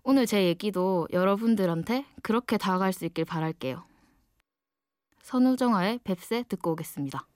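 A woman's voice: mid-range voice, animated, normal speed, darkish tone, no room sound, studio quality. A twenty-year-old woman with a Gyeongsang accent from Ulsan, hosting a late-night radio show as a candid, reflective personal monologue. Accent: Gyeongsang (Ulsan) Korean. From Ulsan, South Korea. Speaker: guest late-night radio DJ, design student.

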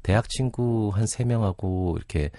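A man's voice: fairly low pitch, normal speed, dark tone, no echo, studio quality. A middle-aged man speaking as a psychiatrist guest in a conversational radio interview, reflective and explanatory, often telling anecdotes. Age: 40s or older.

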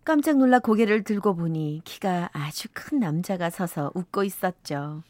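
A woman's voice: lowish voice, lively intonation, normal speed, a little mellow, no echo, natural voice. A woman playing a wistful narrator in her fifties, telling a sentimental first-love story for a radio drama. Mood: wistful, reflective. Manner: sentimental, reflective.

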